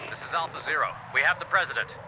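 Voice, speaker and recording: An actor playing a cocky commando leader in a video game, reporting in clipped military radio talk. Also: male voice, fairly high pitch, fast, close to an everyday voice. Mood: confident. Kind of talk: scripted video game voice acting. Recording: noisy intercepted radio transmission in game.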